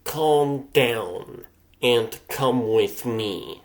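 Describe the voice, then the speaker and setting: A man's voice: medium pitch, very slow, a little hiss or hum. A man voicing a cool, clinical female scientist in a comic dub, precise, polite and detached. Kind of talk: comic dub character acting.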